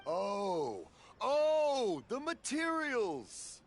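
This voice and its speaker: A man's voice: extremely high pitch, wildly swooping pitch, very noisy, heavily acted. Jolly old father, swooping voice. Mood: cheerfully befuddled. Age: older. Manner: jolly, befuddled, halting. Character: cheerful, hard-of-hearing elderly father, proud fireworks craftsman. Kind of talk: English video game character acting. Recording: music playing behind the voice.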